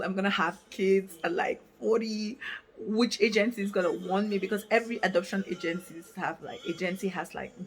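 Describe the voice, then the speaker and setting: A woman's voice: fairly low pitch, husky, low-quality recording. A woman in her late twenties from Africa, talking candidly to camera in a casual vlog, thinking aloud with lots of fillers and restarts. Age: 20s. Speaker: vlogger. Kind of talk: casual reflective talk to camera. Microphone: camera mic, reading from notes.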